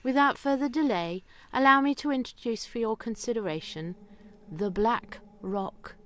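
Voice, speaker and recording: A woman's voice: on the high side, normal speed, fairly bright tone, natural voice. A woman playing a broadcaster who formally and theatrically introduces mysterious archive tapes in an audio drama. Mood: solemn, mysterious. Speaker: announcer introducing the series. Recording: clear background noise and ambience.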